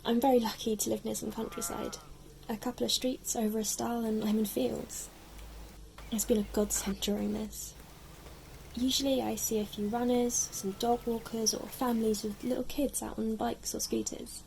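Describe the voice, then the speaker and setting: Wistful woman played by an actress, calm diary reflection, soft dark tone, faint noise. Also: a little high, normal speed, creaky, poor audio. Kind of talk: acted reflective diary monologue.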